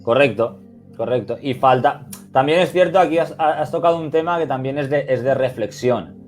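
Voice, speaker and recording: A man's voice: mid-range voice, normal speed, soft background music. A man with a Spain Spanish accent talks as a football coach on a video call. He is reflective and stresses his points firmly. He often restarts his sentences and fills the gaps with "eh". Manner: reflective, emphatic, many restarts and "eh" fillers. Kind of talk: webinar interview answers.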